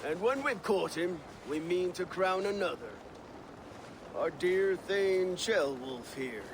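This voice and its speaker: An actor playing a commanding, dry-witted Viking war leader in a video game cutscene, blunt and authoritative. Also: male voice, high-pitched, lively intonation, slow, background noise, heavily acted. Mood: resolute.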